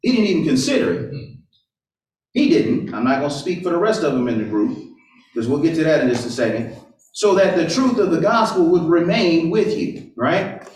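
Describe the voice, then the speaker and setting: A man's voice: fairly high pitch, big pitch swings, moderate pace, a little mellow. A man giving an interactive sermon who asks many rhetorical questions and keeps checking in with "right?". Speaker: pastor or Bible teacher. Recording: roomy echo, far from the mic.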